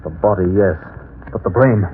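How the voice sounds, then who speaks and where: An actor playing an anxious, loyal laboratory assistant, earnest and urgent in old-time radio melodrama style. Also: male voice, fairly low pitch, moderate pace, close to an everyday voice. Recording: noisy, tinny old radio drama recording.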